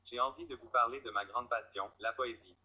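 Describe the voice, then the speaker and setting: A man's voice: mid-range voice, a little fast, bright, forceful tone, slightly rough. A man voicing a pompous, wry talking cat who loves poetry and presents it in a scripted style. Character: haughty talking poet cat, playful, male, poetry lover.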